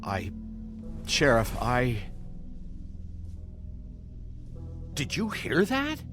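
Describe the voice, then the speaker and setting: A man's voice: mid-range voice, wildly swooping pitch, slow. An actor playing a frightened, cowardly butcher in a video game, stammering, evasive and pleading as he makes his excuses. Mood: nervous, evasive. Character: frightened, cowardly, guilt-ridden male butcher shopkeeper. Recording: echoey reverberant room with music behind. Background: game music.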